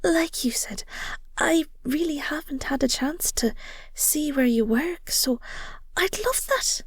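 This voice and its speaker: A woman playing an affectionate, nerdy werewolf girlfriend in an intimate audio roleplay, soft and breathy, often near a whisper, speaking tenderly. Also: sits high, dry recording. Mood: curious, warm. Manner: gushing, tender, hesitant with pauses and sighs.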